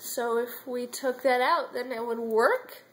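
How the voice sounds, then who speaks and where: A woman's voice: a little high, measured pace. A young woman chatting with her mother at home on a phone camera, joking dryly and teasing herself. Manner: wry, self-mocking, joking. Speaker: daughter. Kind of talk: casual family chat. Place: home room.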